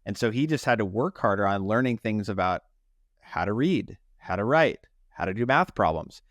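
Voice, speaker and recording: A man's voice: lowish voice, animated, moderate pace, a bit bright, no room sound. A man hosting a podcast, talking in an emphatic motivational style and repeating phrases to drive points home. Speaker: podcast host.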